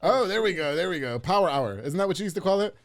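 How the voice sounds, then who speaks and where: A man in his thirties hosting a casual podcast chat, telling stories with laughter. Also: sits high. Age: 30s. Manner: animated, laughing, quick storytelling. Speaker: podcast host.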